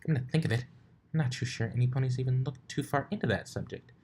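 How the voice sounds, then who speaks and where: A bookish young unicorn mare from a storybook, played by a man, speaks in a raised pitch. She is fussy and cautious, explaining things with some hesitation. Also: fast talker, dark tone, a little hiss or hum, natural voice. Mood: hesitant, puzzled.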